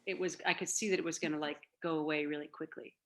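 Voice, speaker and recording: A woman's voice: deep voice, steady tone, fast. A woman in her forties chatting casually on a video call, rambling along with plenty of fillers. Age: 40s.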